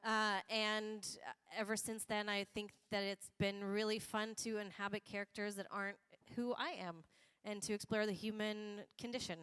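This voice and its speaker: Woman, from Norway, actor, panel talk, reflective, very clear voice, hall reverb. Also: mid-range voice, little pitch movement, a bit bright. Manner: reflective, measured, searching for words.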